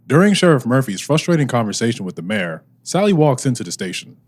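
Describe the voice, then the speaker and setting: A man's voice: mid-range voice, sing-song, fast talker, soft background music, lightly acted. A man playing a dramatic narrator, reading scripted narration for a mystery radio serial. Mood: suspenseful.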